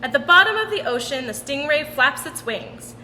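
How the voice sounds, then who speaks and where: A woman reading a picture book aloud for children in an animated, sing-song storytelling manner. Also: high voice, moderate pace. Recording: roomy long reverb with background noise.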